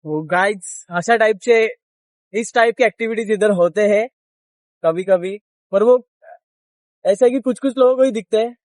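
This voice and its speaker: High-voiced young man vlogging. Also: normal speed, long pauses, a little mellow, no room sound. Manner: switches between languages, repeats himself. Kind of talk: casual vlog chat to viewers. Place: mostly outdoors in windy countryside.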